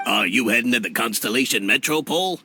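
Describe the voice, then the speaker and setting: A man's voice: mid-range voice, expressive, fast talker, gravelly, pushed and bright, a little hiss or hum, exaggerated voice. A man voicing a vain, fussy storybook resident in a video game, self-important and keen to explain. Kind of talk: English video game voice acting.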